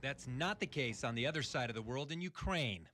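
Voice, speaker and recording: Male news reporter, American, bright punchy voice, scripted narration, slightly reverberant. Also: medium pitch, lively intonation, brisk, a little hiss or hum.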